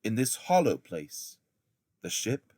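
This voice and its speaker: A man's voice: lowish voice, big pitch swings, slow pace, darkish tone, dry recording, studio quality, pitched above the performer's own voice, full character voice. A man voicing a frightened, bewildered kidnapped boy in a storybook, asking anxious questions.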